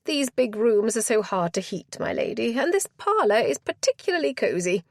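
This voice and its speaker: A woman's voice: a little high, very animated, normal speed, no room sound, clean studio sound. A woman reading a novel aloud, voicing a flustered, bustling widow who gushes with old-fashioned politeness. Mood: flustered gushing. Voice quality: fluttery, gushing. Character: flustered fussing older village widow.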